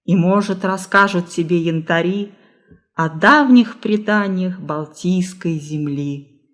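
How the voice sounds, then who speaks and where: Slow-reading woman storyteller. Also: fairly low pitch. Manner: measured, dramatic storytelling delivery. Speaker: reader, library staff. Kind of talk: expressive reading of a verse folk tale.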